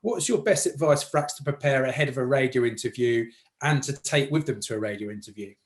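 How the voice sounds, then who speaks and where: A man's voice: medium pitch, fast talker. A man interviewing casually, rambling and repeating words as he builds his point.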